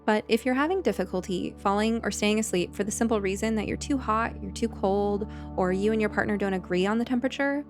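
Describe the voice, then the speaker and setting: Woman, therapist host, ad read, measured, direct address, mid pitch, soft music underneath. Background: faint music.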